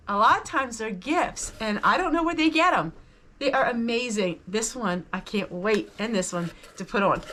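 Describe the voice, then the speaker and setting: A woman's voice: medium pitch, bright, forceful tone. A woman hosting a vlog, chatting casually to viewers about items she shows, in an enthusiastic and appreciative manner. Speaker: vlog host. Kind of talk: casual haul chat to viewers.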